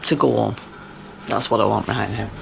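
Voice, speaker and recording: Creaky, weary miners' wife. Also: female voice, rumbling low, moderate pace, heavy background noise, heavily acted. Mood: resigned. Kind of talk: naturalistic radio drama acting.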